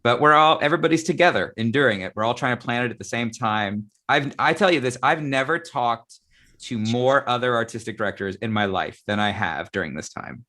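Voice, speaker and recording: Guy hosting a casual online theater chat, forceful bright voice, thinks out loud, stresses his points. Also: medium pitch, brisk. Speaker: talk show host, theatre director.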